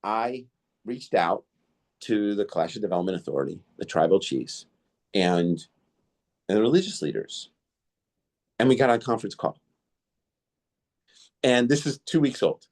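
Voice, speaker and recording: Male podcast host with Indo-Greek as first language, slightly low voice, loose, filler-heavy storytelling. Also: animated, normal speed, long pauses, a little mellow. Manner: rambling, many "you know" fillers, restarts. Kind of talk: casual interview storytelling.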